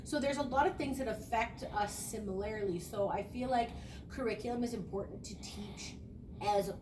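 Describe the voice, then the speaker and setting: A woman's voice: on the high side, moderate pace, recorded from a distance. Young woman in an echoey, noisy room. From small rural town. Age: young adult. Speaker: education student. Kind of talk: spoken reflective class presentation.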